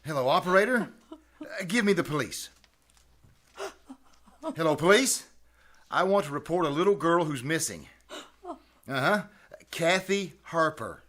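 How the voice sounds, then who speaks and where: A man's voice: a little high, animated, measured pace, pauses often, fairly bright tone. A man acting in a live old-time radio drama as a kindly, concerned neighbour with a hesitant, stammering manner. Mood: flustered, earnest. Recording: noisy live theater stage recording.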